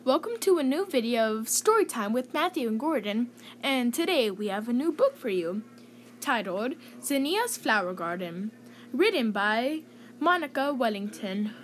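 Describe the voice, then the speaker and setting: High, very animated woman reading to children. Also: moderate pace, a little hiss or hum. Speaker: host reading children's picture book aloud. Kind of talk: children's story read-aloud.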